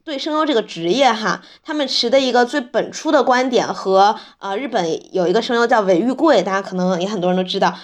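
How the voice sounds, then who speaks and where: A woman with a somewhat high voice co-hosts a podcast. She chats casually and slips into anecdotes, with a playful, joking way of talking. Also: lively intonation, a little fast, few pauses. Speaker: podcast host.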